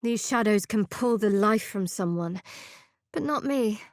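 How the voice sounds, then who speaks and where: An actress playing a guarded, sardonic young female cleric of a dark goddess in a fantasy video game, speaking in a measured, dryly wry way. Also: medium pitch, lively intonation, dry recording, clean studio sound. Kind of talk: video game voice lines, dramatic fantasy acting.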